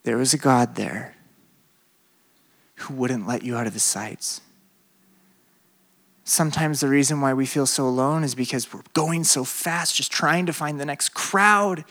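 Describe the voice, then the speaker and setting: Animated young pastor preaching with bright energy. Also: male voice, fairly high pitch, unhurried. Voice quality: slight speech impediment. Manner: animated, swings from loud to hushed. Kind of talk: sermon to a college crowd.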